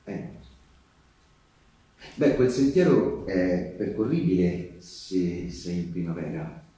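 A man playing a storyteller of partisan war tales, delivering dramatic theatrical narration with emphatic repetitions and pauses. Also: deep voice. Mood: solemn storytelling. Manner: emphatic repetitions, swinging from urgent cries to hushed pauses. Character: man telling partisan war stories to Teresa, intimate and grave. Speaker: stage actor and storyteller. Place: theatre-like echoing space with live musical accompaniment. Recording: echoey live stage, distant mic, music behind.

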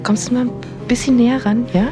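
A woman's voice: a little high, fairly even intonation, quick pace, over music, close to an everyday voice. An actress in a radio drama playing a sentimental female TV reporter, solemn and full of pathos, with coaxing asides. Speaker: media presenter.

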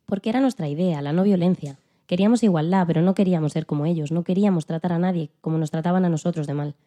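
A woman playing a dignified elderly female civil rights activist who recalls her struggle in a calm, earnest, plain-spoken way, as scripted interview storytelling. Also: fairly low pitch, fast talker, natural voice. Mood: earnest, resolute. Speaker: podcast guest in a dramatized interview. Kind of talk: scripted first-person storytelling in interview form.

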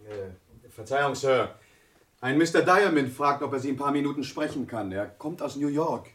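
A man's voice: medium pitch, animated, moderate pace, fairly bright tone, lightly acted. An actor playing a deferential police sergeant in a 1970s German radio crime drama, formal and obliging toward his superior. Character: deferential male police sergeant assisting the inspector.

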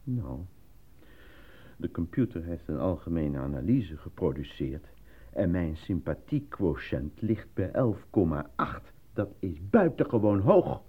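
A muffled, lo-fi radio play recording carries an eager and naive fellow with a husky voice. He stammers, gets flustered easily and leaps about in pitch with excitement. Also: a little slow, exaggerated voice. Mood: boastful, excited. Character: eager, naive, easily flustered male actor cast as minister. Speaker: actor.

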